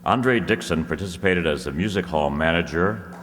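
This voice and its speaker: A man playing a vintage radio announcer, reading scripted copy in a measured, formal manner. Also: low-pitched, natural voice. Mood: formal, genial. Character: smooth 1930s radio announcer selling soap. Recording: echoey hall, noisy audience room.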